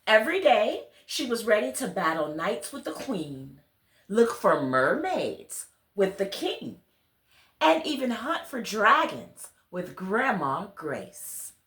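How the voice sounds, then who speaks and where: A woman's voice: medium pitch, sing-song, slow, fairly bright tone. A woman reading a storybook aloud to young children, speaking to them directly with questions and exclamations. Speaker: children's read-along storyteller, host. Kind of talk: storybook reading with chatty asides to young listeners. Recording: roomy, echoey sound.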